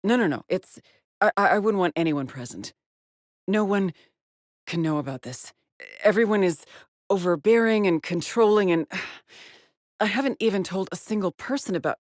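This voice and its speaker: An actress playing a haunted, weary woman astronaut stranded alone on an alien world in a video game, with a breathy voice and a grave, brooding delivery. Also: mid-range voice, moderate pace, no room sound, clean studio sound, lightly acted. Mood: anxious, guarded. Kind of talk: dramatic game voice lines, monologue logs.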